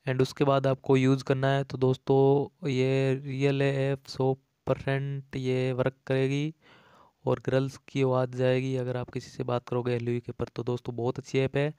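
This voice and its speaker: Man, very monotone, clean smooth voice, casual tutorial host, repetitive instructions. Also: medium pitch, normal speed, dry recording. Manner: repetitive, instructional, addressing viewers as friends. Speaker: tech tutorial YouTube host. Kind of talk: casual step-by-step app tutorial.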